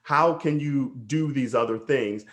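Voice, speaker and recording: Guy coaching host, upbeat, keeps saying he loves it, mid pitch. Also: measured pace, fairly bright tone. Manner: enthusiastic, affirming. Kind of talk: casual interview.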